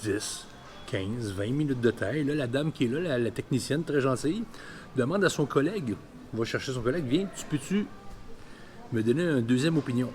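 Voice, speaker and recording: A man's voice: medium pitch, lively intonation, moderate pace, darkish tone, slight background noise. A man of about fifty with a Quebec French accent, telling a personal story candidly and colloquially in a vlog. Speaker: vlogger.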